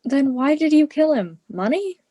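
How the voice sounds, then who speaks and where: A woman playing a hard, sceptical female prisoner in a radio play, speaking in a measured, blunt, questioning way. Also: high voice, big pitch swings, warm tone. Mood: probing, sceptical. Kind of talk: theatrical radio play dialogue.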